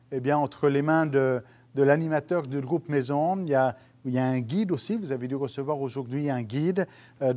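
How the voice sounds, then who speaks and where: Earnest pastor with lapel mic. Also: male voice, medium pitch, a little mellow. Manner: earnest, hesitant with many restarts and fillers. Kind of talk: video teaching for small groups. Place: studio stage with dark curtain.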